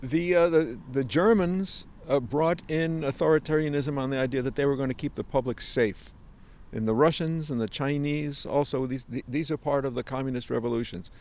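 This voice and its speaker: A man interviewing someone, asking questions haltingly as he searches for his words. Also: medium pitch, a little mellow.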